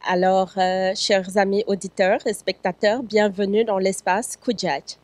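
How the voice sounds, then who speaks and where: A woman's voice: medium pitch, little pitch movement, a little slow, darkish tone, no echo. A woman hosting a studio interview, speaking in polished, formal phrasing with an occasional filler. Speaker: host.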